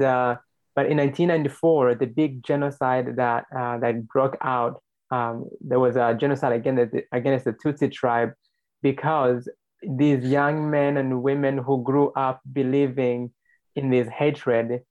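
Young man from Rwanda, interview guest, steady flat delivery, dull lo-fi sound. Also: medium pitch. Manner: measured, hesitant, many fillers. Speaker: guest, spokesperson. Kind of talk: interview storytelling.

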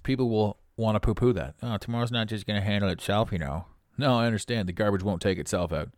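A middle-aged man with a raspy voice, hosting a podcast with a blunt, preachy motivational monologue. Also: fairly low pitch, quick pace, dry recording, studio quality. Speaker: podcast host.

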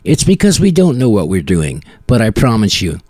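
Low-voiced, wry man hosting a podcast. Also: wildly swooping pitch, moderate pace, dark tone, husky, no echo, clean studio sound. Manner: wry, tongue-in-cheek, self-deprecating. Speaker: podcast host. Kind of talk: casual podcast banter, partly scripted announcements.

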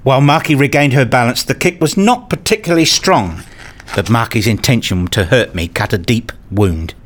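A man acting as a brooding husband and father in a radio play. He is pedantic and fixed on rules, with a middling pitch and an introspective way of telling his own story. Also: normal speed, natural voice. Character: brooding, rule-obsessed husband and father narrating his life. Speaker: narrator and lead character. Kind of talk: first-person radio play narration and dialogue.

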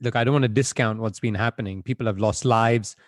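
A man's voice: on the low side, steady tone, brisk, no echo. Reflective man on a dry video call. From Belgium. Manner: reflective, with frequent "you know" fillers. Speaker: guest. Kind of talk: conversational interview answers.

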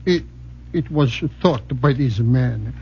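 Old italian farmer uncle, thick broken english, raspy and high, muffled 40s radio sound. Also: male voice, darkish tone, full character voice. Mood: pleading, puzzled. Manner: halting, deferential, broken English. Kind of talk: 1940s radio drama acting. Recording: dull vintage radio recording.

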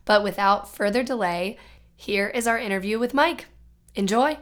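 A young woman with a hoarse voice from a cold, hosting and explaining a medical teaching podcast. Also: mid-range voice, normal speed, fairly bright tone. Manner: clear explanatory delivery. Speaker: podcast host.

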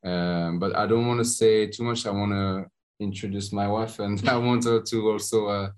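A man from France with a French accent, a podcast guest telling his story in a halting way with frequent pauses and restarts. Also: lowish voice, fairly even intonation. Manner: halting, many uh fillers and restarts. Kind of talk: conversational interview storytelling.

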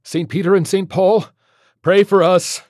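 Man acting a commanding, high-pitched priest exorcist. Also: lively intonation, unhurried, no echo, studio quality. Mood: fervent praying. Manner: solemn, declamatory prayer and rebuke. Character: steadfast male priest exorcist, calm but commanding.

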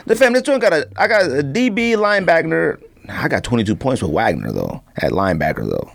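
A man's voice: a little high, very animated, brisk, creaky, fairly bright tone. A man hosting a podcast in casual sports and sneaker banter, slangy, teasing and given to exclamations. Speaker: podcast host.

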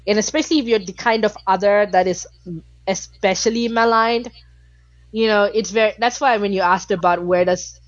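A woman's voice: mid-range voice, no echo, muffled recording. A woman from Malaysia, a guest in a casual interview, talking with passion and many filler words.

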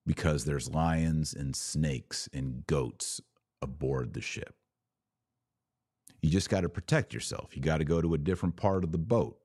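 A man's voice: low-pitched, darkish tone, no room sound, clean studio sound. A middle-aged American man hosting a religious podcast, speaking conversationally in an emphatic, folksy, preachy way. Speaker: podcast host. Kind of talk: conversational religious commentary with exhortation.

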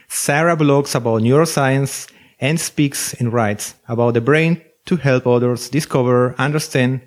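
Man hosting, formal read intro. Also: medium pitch. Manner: formal, read-aloud delivery. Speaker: podcast host. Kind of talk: scripted intro and interview.

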